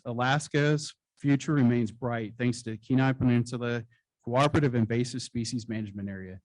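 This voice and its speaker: Male awards presenter at a podium, measured formal delivery, average pitch, dry close sound. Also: no echo, rough recording. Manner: formal, measured reading with occasional slips. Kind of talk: reading award citations at a conference. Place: conference hall stage.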